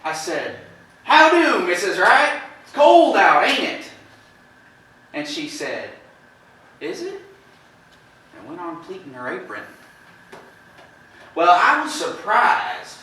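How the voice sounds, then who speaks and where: A plain-spoken country farmer acted by a man gives a folksy, halting account on an amateur stage. His voice is bright and swings widely in pitch. It sounds distant and very echoey. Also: exaggerated voice. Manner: folksy, halting storytelling with dramatized quotes. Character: plain-spoken neighboring farmer recounting a shocking visit. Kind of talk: amateur stage play acting. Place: theatre stage, indoors. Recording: echoey stage from far off, faint noise.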